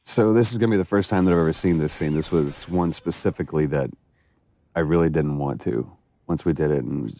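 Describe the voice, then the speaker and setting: A man's voice: a bass voice, a little fast, gravelly, dark tone. A man giving casual, reflective commentary as an actor, earnest and emphatic in how he talks.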